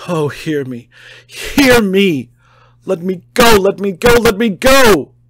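A man reads as a desperate male asylum inmate, begging in a raised, pleading voice that climbs higher. The sound is dry and close, with no room around it. He entreats without pause, pressing every line. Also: lively intonation, a bit bright, no echo, exaggerated voice. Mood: frantic pleading. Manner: pleading, desperate, rising to cries. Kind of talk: audiobook character reading.

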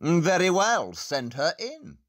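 An actor playing a brisk, aloof detective with a British accent and a formal, pedantic manner in an audio drama. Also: male voice, sits high, sing-song, a bit bright, dry recording, clean studio sound, soft background music, exaggerated voice. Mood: dry, brisk.

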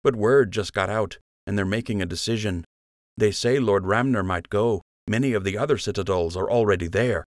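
A man's voice: on the low side, moderate pace, no room sound, clean studio sound, close to an everyday voice. A man voicing a grave, worried male rabbit who passes on news urgently in an audiobook. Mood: concerned. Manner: urgent, concerned.

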